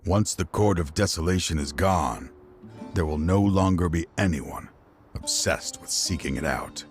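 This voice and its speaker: A middle-aged enforcer at the end of his life, his voice very low and heavy with remorse. He speaks slowly and solemnly, resolute yet weary, in a dramatic video game scene with music underneath. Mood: grave. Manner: slow, solemn, sighing.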